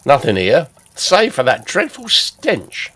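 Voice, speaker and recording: A man playing a brisk, commanding police inspector in an audio drama, with a clipped, authoritative manner. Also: fairly high pitch, huge pitch swings, a bit bright, a little hiss or hum, exaggerated voice. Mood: businesslike.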